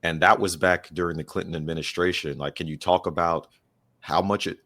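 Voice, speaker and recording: A man with a low voice hosts a conversational podcast. He asks long, searching questions, circling back and restarting as he thinks them through. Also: fairly even intonation, a little fast, fairly bright tone. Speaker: podcast host. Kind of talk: casual interview conversation.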